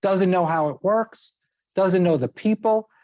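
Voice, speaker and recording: A man's voice: sits high, a little slow, no room sound. A middle-aged man from outside New York City giving a seminar talk, chatty and full of restarts and fillers. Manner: conversational, many fillers and restarts. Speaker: seminar speaker. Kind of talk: informal talk with anecdotes. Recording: dry, slightly dull sound.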